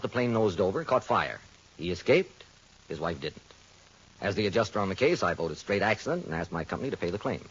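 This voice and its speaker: A man's voice: lowish voice, fairly bright tone, breathy edge, exaggerated voice. An actor playing a persuasive male insurance adjuster in a 1940s radio drama, with a dull old radio sound.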